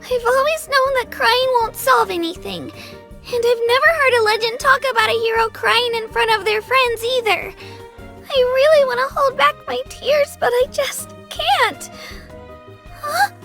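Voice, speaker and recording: A woman's voice: very high voice, a little slow, creaky, bright, forceful tone, faint music behind, full character voice. A woman playing an earnest, timid but determined young girl adventurer in a video game, with a sweet childlike voice. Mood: tearful. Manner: earnest, self-doubting, eager to please. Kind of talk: English video game character voice lines.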